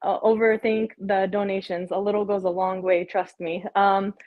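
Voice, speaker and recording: A woman's voice: mid-range voice, little pitch movement, moderate pace, dry recording, narrow, tinny audio. A woman from Texas with a slight Texan twang, chatting friendly and talkatively as she introduces her cooking. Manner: friendly, talkative, with ums and uhs. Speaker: home cook introducing herself. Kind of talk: casual cooking demo.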